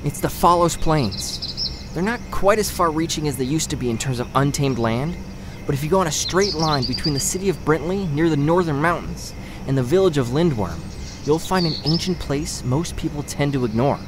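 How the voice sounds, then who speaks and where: An actor in an audio drama playing an earnest fantasy group leader who reasons things out in a measured, explanatory way. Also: male voice, a little high, lively intonation, close to an everyday voice. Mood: assured. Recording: background noise of birds and crickets.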